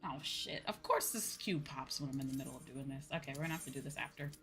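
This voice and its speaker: A woman's voice: extremely low pitch, fast, a bit bright. A woman streaming a game, chatting informally and thinking aloud as she plays, often dropping in "you know" and "like". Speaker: streamer playing an online game. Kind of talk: casual livestream chat, thinking aloud while playing. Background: music.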